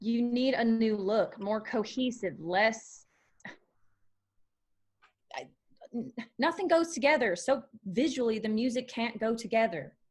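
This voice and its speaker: A woman's voice: fairly high pitch, animated, unhurried, long pauses. A woman reading the part of a bold, blunt young woman in a screenplay table read, asking challenging questions. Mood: critical. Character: Charlie, bold blunt young woman joining country band. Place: remote virtual table read.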